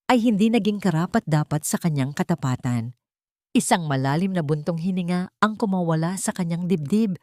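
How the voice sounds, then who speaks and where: A woman telling a scripted drama story in a measured, earnest way. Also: lowish voice, warm tone, no echo, studio quality.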